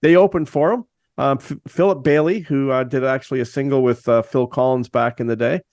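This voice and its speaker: A man's voice: medium pitch, steady tone, fairly quick, a little mellow, no echo. An older man with a mid-range voice co-hosts a casual podcast and rambles through stories in a chatty way. The sound is dry and close-miked. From Canada. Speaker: co-host.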